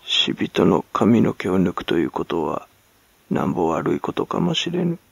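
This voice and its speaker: A man voicing a croaking, gasping old woman who mutters excuses for herself in rustic, archaic speech, in a storybook reading. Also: sits low, sing-song, moderate pace, no echo, heavily acted. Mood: defensive muttering. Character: croaking, muttering, self-justifying old hag in rags.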